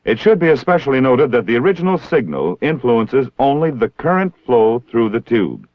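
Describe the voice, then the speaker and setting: American man, low voice, formal training-film narration, measured and precise, dry close sound, muffled 1960s film soundtrack. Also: sing-song, no room sound. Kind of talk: scripted instructional narration.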